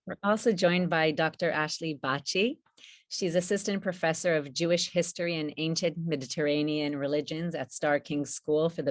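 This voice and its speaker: A woman with a notably deep voice co-hosts a scholarly panel. Her delivery is halting as she searches for words, and the sound is very dry, with hardly any room to it. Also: no echo. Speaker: artist co-hosting a panel event. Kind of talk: introducing speakers at a scholarly panel.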